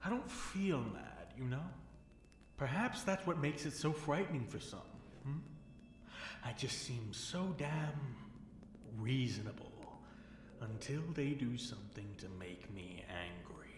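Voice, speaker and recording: A man playing an obsessive, seductive fallen dragon king held captive, speaking in a slow, teasing, theatrical purr for an intimate ASMR roleplay. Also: medium pitch, lively intonation, close to an everyday voice. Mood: calm, unsettling. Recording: roomy echo with faint noise.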